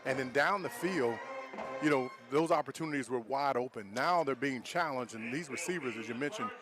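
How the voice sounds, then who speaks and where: Male color commentator with a medium-pitched voice, conversational coach's analysis, music behind. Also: a little fast. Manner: conversational, explanatory. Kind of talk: live football analysis.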